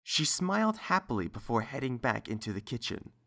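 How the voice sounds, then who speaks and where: A man's voice: mid-range voice, big pitch swings, brisk, creaky, a bit bright. A man reading a scripted story as its narrator.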